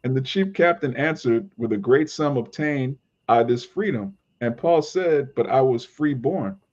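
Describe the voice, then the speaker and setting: A man's voice: mid-range voice, expressive, a little slow, a little mellow. A man reading scripture aloud for a study group, in dull lo-fi audio. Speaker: scripture reader.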